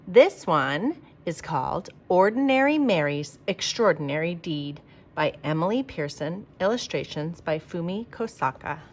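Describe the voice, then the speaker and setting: A woman reading a children's picture book aloud like a teacher, with expressive, emphatic delivery for young listeners. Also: lowish voice, measured pace.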